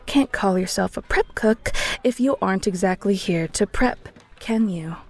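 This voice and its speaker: A woman's voice: mid-range voice, normal speed, natural voice. A woman playing a blunt, bossy restaurant chef in an audio roleplay, speaking straight to the listener. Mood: stern, exasperated. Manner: stern, exasperated, blunt. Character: bossy Filipino-American restaurant chef, secretly a ghoul.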